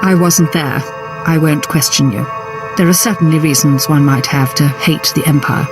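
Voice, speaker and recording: Brief: male actor as military intelligence agent, formal, dutiful, deferential, very loud score behind. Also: on the high side, fairly even intonation, normal speed, music in the background, natural voice. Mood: respectful. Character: businesslike Imperial agent, deferential to superiors.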